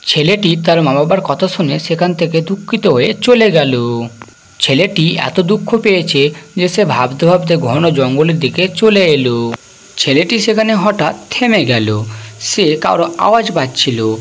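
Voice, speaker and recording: A storyteller narrates a scripted folk tale in a medium-pitched voice. The delivery is that of a cartoon story narrator. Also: expressive, a little slow.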